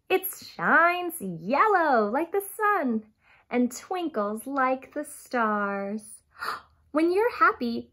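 A woman in her thirties reading a picture book aloud to young children in an expressive, dramatic way, stressing key words and addressing her listeners directly. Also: high voice. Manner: dramatic gasps and emphatic, drawn-out key words. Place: small furnished room at home, indoors.

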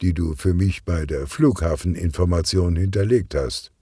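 Smug, deep-voiced gangster boss read by a man. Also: moderate pace, dark tone, husky, no room sound, clean studio sound. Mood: self-satisfied, tired. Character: smug male gangster boss, businesslike, weary from travel. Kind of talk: audiobook character dialogue.

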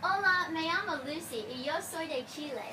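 A teenage girl playing a girl camper in a school skit, speaking slow, stilted learner Spanish. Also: very high voice. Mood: cheerful. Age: teens. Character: teen girl camper Lucy. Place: outdoors yard and house rooms. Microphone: far camera. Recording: roomy, distant, noisy phone video.

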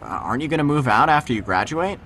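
A man's voice: medium pitch, expressive, fast, a bit bright, slight background noise. A young man playing a wry, dry-humored ordinary guy on a date in an audio drama.

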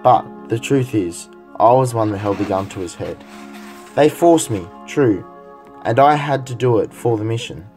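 A man plays a hardened police interrogator in a modern radio drama. He is blunt, skeptical and insistent, with an average-pitched voice. Faint music sits behind him. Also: lively intonation, moderate pace, a little mellow, lightly acted. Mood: grim confession. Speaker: actor in a radio play. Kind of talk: modern radio play acting.

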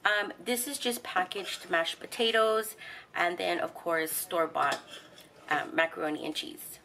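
A woman's voice: on the low side, steady tone, measured pace, gravelly, sharp tone, a little hiss or hum. A middle-aged woman hosting a home cooking channel, casually narrating each recipe step with the odd "um" as she cooks in her kitchen. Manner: instructional, walks through each step with fillers.

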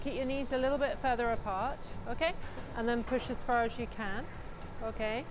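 A middle-aged woman with a fairly high voice coaches exercise technique in a gym. She gives clear step-by-step instructions and often checks that she is understood, with music playing behind her. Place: indoor gym.

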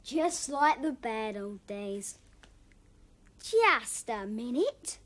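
A woman voicing a plucky, cheeky young boy in a cartoon, exclaiming brightly. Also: on the high side, big pitch swings, very slow, exaggerated voice. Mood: wary. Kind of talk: cartoon voice acting.

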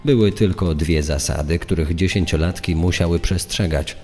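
A man's voice: low voice, normal speed, a little mellow. A man reading scripted documentary narration. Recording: music bed behind the voice.

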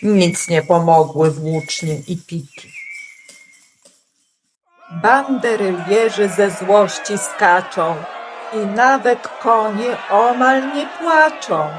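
A woman narrating a rhymed children's story with theatrical, deliberate, emphatic phrasing on a noisy amateur recording. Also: mid-range voice. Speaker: storyteller in a community radio play.

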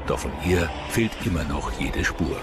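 A man narrates a true-crime documentary in a low-pitched voice. The delivery is scripted storytelling, and music plays clearly behind him. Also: normal speed.

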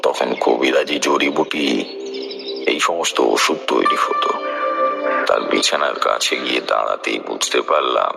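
A man's voice: extremely low pitch, unhurried, vocal fry. A man narrating a horror story in the first person, dramatic and suspenseful, with a lingering delivery. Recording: loud music bed under the voice. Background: loud background music.

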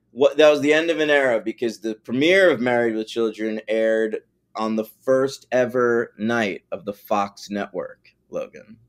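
A man in his forties co-hosts a film podcast in loose, rambling chat. His voice sits at a middle pitch, and he is wry, with casual swearing. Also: clean tone. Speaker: podcast co-host.

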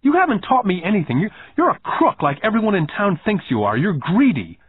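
A man's voice: mid-range voice, huge pitch swings, a little fast, breathy edge, dry recording. A man voicing an indignant, pouting nine-year-old boy who blurts out aggrieved complaints in an audiobook. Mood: angry, nearly tearful. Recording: muffled, phone-like narrow band.

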